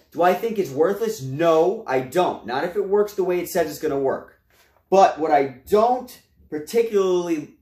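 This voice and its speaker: Animated young man, high voice, roomy echo. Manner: animated, gestures a lot, addresses viewers as guys. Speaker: home gym reviewer. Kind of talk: casual product review to camera. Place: small room at home, indoors.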